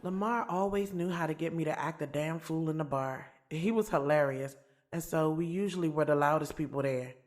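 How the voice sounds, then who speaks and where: A woman's voice: sits low, a little fast, darkish tone, natural voice. A woman playing a sassy, candid young woman in an audio drama, telling her story in a casual, slangy way, swearing freely. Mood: reflective. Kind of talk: audio drama first-person narration and dialogue. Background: music.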